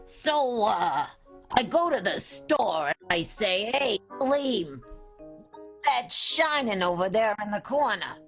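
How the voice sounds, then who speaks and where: A woman's voice: medium pitch, very animated, slow, fairly bright tone, soft background music, full character voice. A woman playing a goofy vaudeville gymnast and comedian, telling a joke. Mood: joking. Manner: joke-telling, bits of comic banter.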